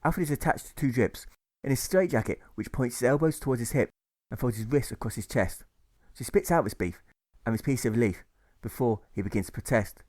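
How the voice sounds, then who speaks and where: A man's voice: mid-range voice, fairly quick, darkish tone. A man reading a rhyming audiobook story in a rhythmic, emphatic storytelling manner. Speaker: author reading own audiobook. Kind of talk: scripted rhyming narration.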